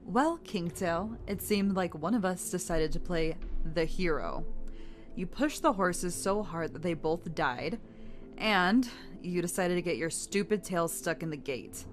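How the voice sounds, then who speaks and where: A woman's voice: lowish voice, lightly acted. A woman playing a sardonic, haughty, impatient canine thief, mocking and scornful, in a dramatised fantasy audio story.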